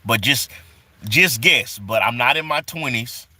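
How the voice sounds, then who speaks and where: A man's voice: mid-range voice, animated, measured pace, bright, forceful tone, breathy edge. A man in his forties on a casual live stream, reading viewers' comments aloud and greeting them in a loose, repetitive way full of fillers. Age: 40s.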